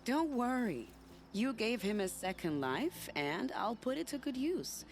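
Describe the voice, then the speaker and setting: A woman's voice: fairly high pitch, sing-song, unhurried, slight background noise. An actress playing a confident, scheming spy in a video game, teasing and theatrical in her delivery. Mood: gleeful, scheming. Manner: teasing, silky, dramatic. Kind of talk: video game cutscene dialogue acting.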